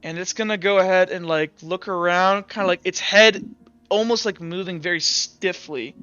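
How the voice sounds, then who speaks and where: A man running a tabletop roleplaying game as game master, improvising dramatic narration haltingly and swearing casually. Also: high-pitched, bright, forceful tone, smooth, no room sound, muffled recording, faint music behind.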